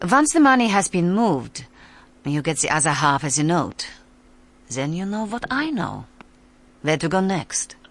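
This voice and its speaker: An actress playing a cool, scheming woman in a radio drama, speaking with deliberate, insistent phrasing and dramatic pauses. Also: lowish voice, sing-song.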